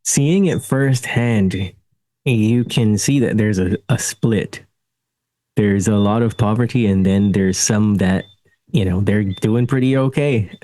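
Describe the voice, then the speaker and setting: Low-voiced man hosting a casual podcast. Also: takes it slowly, dark tone, dry recording, poor audio. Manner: chatty, laughs through stories. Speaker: podcast host. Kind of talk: casual interview conversation.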